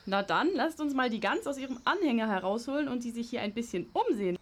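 High-voiced, kindly grandmother played by a young amateur. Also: animated, fast talker. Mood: gentle. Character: kindly grandmother, cautious but open to persuasion. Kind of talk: amateur audio drama acting.

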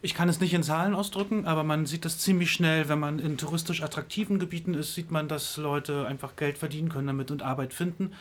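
A man's voice: on the high side, steady tone, fast talker, hardly any pauses. A middle-aged man from Greifswald answering interview questions in a radio studio, hesitant with many fillers. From Greifswald, Germany. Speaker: interview guest, travel website operator.

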